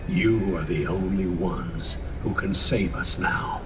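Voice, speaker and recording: A grave older military commander in a game. His low voice moves slowly and solemnly, set slightly away from the mic amid rumbling vehicle noise. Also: animated, in a large hall, far from the mic, exaggerated voice. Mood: grave urgency. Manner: measured, solemn briefing. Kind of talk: dramatic video game cutscene acting.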